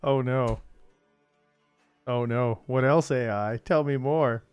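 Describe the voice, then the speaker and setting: A man hosting a livestream, casually and haltingly improvising a text adventure with amused asides. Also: medium pitch, a little mellow, clean tone, dry recording, faint music behind. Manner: amused, laughs easily, pieces sentences together haltingly. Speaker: livestream host playing a text adventure game.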